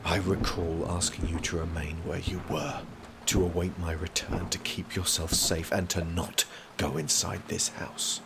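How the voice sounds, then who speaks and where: A man's voice: deep voice, level tone, unhurried, creaky, background noise. A man playing a stern, protective prince in an audio drama, speaking in a formal, clipped, commanding way. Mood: exasperated, scolding.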